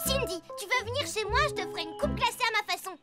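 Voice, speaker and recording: An actor voicing an eager, boastful little boy in a French children's cartoon, excitable and keen to impress. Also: very high-pitched, fast. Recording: loud children's music behind.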